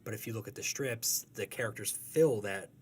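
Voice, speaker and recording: A man's voice: on the low side, normal speed, a little hiss or hum. A man chatting casually as an interview guest, informal and enthusiastic, feeling his way through explanations. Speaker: cartoonist guest. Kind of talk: casual chat.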